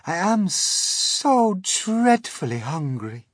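A man's voice: high voice, big pitch swings, extremely slow, husky, dry recording, studio quality, pitched above the performer's own voice, full character voice. A man voicing a polite, timid female spider with a genteel, pleading manner in a storybook.

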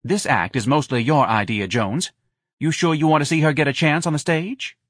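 A man's voice: a little high, normal speed, fairly bright tone, slightly rough, no echo, clean studio sound, voice pushed up. A man voicing a hard, scheming woman who runs a bar, curt and browbeating, in an audiobook. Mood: angry.

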